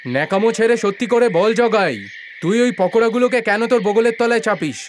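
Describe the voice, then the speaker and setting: A man's voice: high-pitched, fairly quick, a little hiss or hum, natural voice. An actor playing a stern, angry male customer who scolds and accuses in a cartoon story. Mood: accusing. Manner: scolding, indignant.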